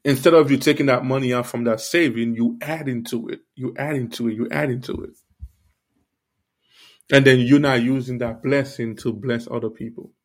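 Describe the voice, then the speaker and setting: A man born in Haiti speaks as the host of his own faith podcast. He talks straight to his listeners in an earnest, preachy way, full of "you know" and "like". His voice sits at an ordinary middle pitch. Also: steady tone, normal speed. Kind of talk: casual faith podcast monologue.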